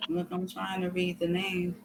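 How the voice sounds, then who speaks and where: A woman's voice: lowish voice, completely flat delivery, moderate pace, a little hiss or hum. A woman hosting a live talk show, thanking guests and wishing them blessings. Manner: warm sign-offs, blessing guests. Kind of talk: casual live show chat.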